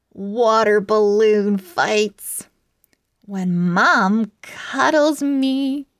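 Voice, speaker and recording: An enthusiastic little girl owl shares her storybook diary, acted out by a woman reader. The voice is fairly high, with big lively swings in pitch and a very slow, exaggerated delivery for small children. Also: no echo, clean studio sound, exaggerated voice. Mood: cheerful. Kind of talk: children's storybook read-aloud.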